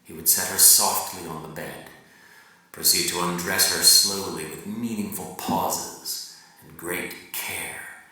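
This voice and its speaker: A man's voice: deep voice, steady tone, slow. Man reading theatrically in an echoey room. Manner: wry, theatrical, shifting from loud emphasis to hushed murmurs. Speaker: reader at a live dramatic reading. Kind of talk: dramatic reading of a novel monologue. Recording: very echoey room, distant mic.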